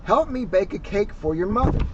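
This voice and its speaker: A man's voice: high-pitched, expressive, normal speed, far from the mic, lo-fi sound, noisy background, pitched above the performer's own voice. A man voicing a helpful father frog who calls out instructions in a children's storybook. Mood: calling. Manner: instructing, calling out.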